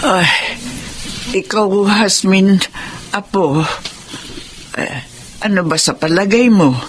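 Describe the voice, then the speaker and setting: A woman playing a hesitant, affectionate elderly grandmother in a Filipino radio drama, with halting speech. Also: medium pitch, lively intonation, a little hiss or hum. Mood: hesitant, tender.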